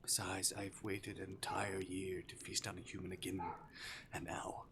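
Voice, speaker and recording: A man's voice: low voice, completely flat delivery, moderate pace, pitched below the performer's own voice, lightly acted. A man voicing a playful, theatrical arctic demon from a visual novel, with mock-courteous, teasing menace. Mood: soft menace. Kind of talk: visual novel character voice acting. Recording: clear background noise.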